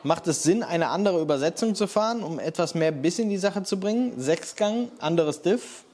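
A man's voice: high voice, expressive, normal speed, darkish tone. A man co-hosting a casual chat, reading out viewer questions and chiming in with short agreeing replies. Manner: reads questions aloud, short agreeing interjections.

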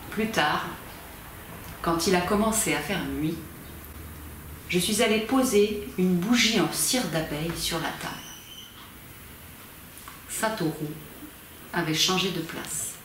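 A woman in her fifties, a volunteer amateur reader, gives a theatrical reading of a novel with measured, expressive phrasing, recorded indoors in a small library corner by a camera at a distance. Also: on the low side. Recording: very echoey room, faint background noise.

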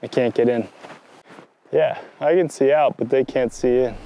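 A man's voice: mid-range voice, normal speed, dark tone, muffled recording. A man speaking casually and matter-of-factly, thinking aloud about the track. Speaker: downhill racer. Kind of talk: casual chat.

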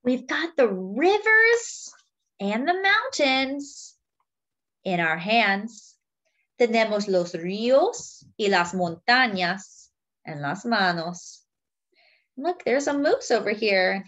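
A woman's voice: medium pitch, very animated, slow, fairly bright tone. A woman reading a picture book aloud to young children, in simple phrases as a children's storyteller.

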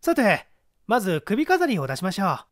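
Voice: medium pitch, huge pitch swings, moderate pace, dry recording, studio quality, voice pushed up. A reader acting a cool, assured young male sleuth. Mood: cool, wry. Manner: assured, wry, commanding. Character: confident young male detective, cool and teasing.

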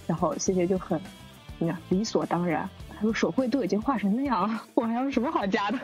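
A woman's voice: mid-range voice, fast talker, darkish tone, faint music behind. A woman hosting a casual group chat, chatty and often prompting others with questions. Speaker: host of a drama cast discussion.

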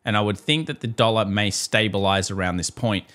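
Australian male market analyst, lowish voice, brisk opinionated chart commentary. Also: a bit bright. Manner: brisk, opinionated, asks and answers own questions. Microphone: voice-over on screen recording.

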